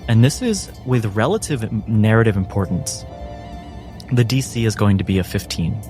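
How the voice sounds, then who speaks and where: A man narrating a tabletop game as game master, in measured, deliberate phrases with dramatic pauses. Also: lowish voice, animated, over music.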